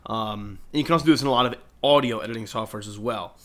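A man's voice: medium pitch, fast, fairly bright tone. A man narrating a video editing tutorial in an informal, explanatory way. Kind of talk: casual screen-recorded tutorial narration. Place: indoors, voice-over at a computer.

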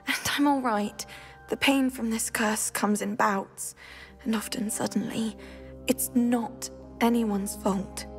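A young woman with a British accent playing a gentle, weary, resigned teenage witch in a video game, speaking softly. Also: fairly high pitch, steady tone, takes it slowly, long pauses. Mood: polite, weary. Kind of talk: video game character dialogue. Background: background music.

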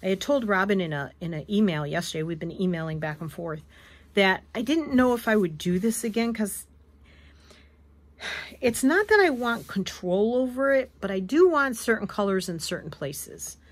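A woman hosting a craft video, hoarse and wheezy from allergies, chatting casually as she shows fabric at a table. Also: mid-range voice, lively intonation, moderate pace. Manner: chatty, rambling, self-correcting. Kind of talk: casual show-and-tell chat. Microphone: camera above a tabletop, speaker off frame.

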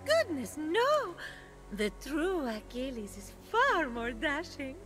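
Casting: gentle video game goddess in tree form; very high, unhurried, gracious delivery over prominent music. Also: female voice, big pitch swings, exaggerated voice. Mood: serene, gracious. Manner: gracious, dainty, measured. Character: gentle, courteous goddess turned talking tree, dreamy and tender. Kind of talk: video game cutscene acting.

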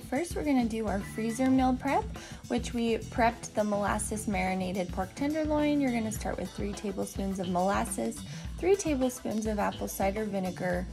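A woman who hosts a cooking vlog, talking through recipes in a relaxed, chatty voice-over with the odd um. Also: mid-range voice, vocal fry. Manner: chatty, relaxed step-by-step instructions with ums. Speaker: cooking vlogger. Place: home kitchen.

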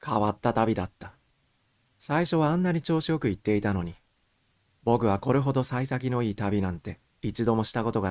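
A man's voice: on the low side, a little mellow, no room sound. A man reading scripted first-person mystery fiction as an audiobook narrator.

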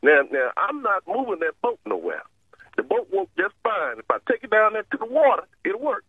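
High-voiced man, stubborn, repetitive, argumentative, swooping intonation, telephone line. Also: wildly swooping pitch, moderate pace, breathy edge, no room sound. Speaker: prank call target. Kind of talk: argumentative phone conversation. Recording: dull lo-fi phone line.

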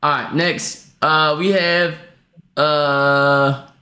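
A man hosting a live stream, teasing his guests in loud, profane, casual banter. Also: on the high side, steady tone, very slow, roomy sound. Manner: loud, profane, teasing, bursts of shouting laughter. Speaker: streamer host.

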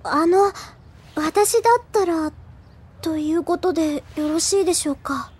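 A woman's voice: very high voice, a little slow, a little mellow. A woman playing an earnest, deferential younger schoolgirl in an audio drama, polite, sweet and a little timid. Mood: earnest, determined. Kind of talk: Japanese audio drama acting.

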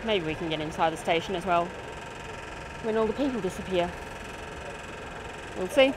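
A woman's voice: on the low side, animated, normal speed, noisy background. A woman giving casual on-location commentary at a rural railway station, rambling and thinking aloud with fillers, recorded on a handheld camera mic. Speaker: railway video maker.

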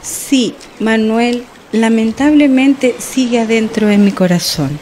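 A thoughtful, heartsick woman journalist in a radio soap. Her voice is high and her delivery earnest and measured, with some noise behind it. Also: a little mellow. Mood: wistful. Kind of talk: radio soap opera acting.

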